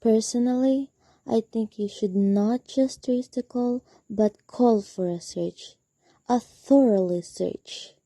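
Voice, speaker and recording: A woman's voice: fairly high pitch, takes it slowly, warm tone, dry recording. A young woman acting in a student radio drama as an anxious, demanding invalid wife alone at night. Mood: insistent.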